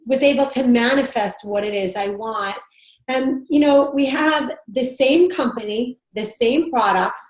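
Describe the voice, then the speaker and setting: A hoarse woman recovering from strep throat, giving emphatic, self-assured motivational talk about network marketing on a conference call. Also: fairly high pitch, reverberant, poor audio. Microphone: phone or computer on a conference call.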